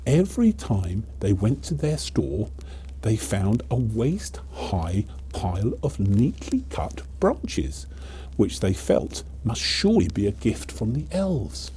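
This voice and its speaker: Male storyteller, very soft dark tone, lively swings of pitch, theatrical children's tale delivery. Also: takes it slowly, a little hiss or hum, poor audio. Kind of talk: dramatic children's storybook narration.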